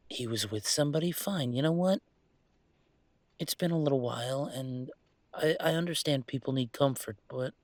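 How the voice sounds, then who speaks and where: Gravelly, wry young werewolf played by a man. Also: mid-range voice, a bit bright, no room sound. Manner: halting, sardonic, rambling confiding. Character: troubled young male werewolf, wry, self-deprecating, hurting. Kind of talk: intimate audio roleplay monologue.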